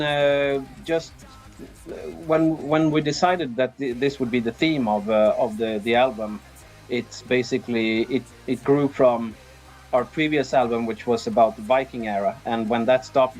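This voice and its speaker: A man's voice: mid-range voice, darkish tone, poor audio. Man, music playing behind. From Sweden. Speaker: band drummer being interviewed. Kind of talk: casual interview answers.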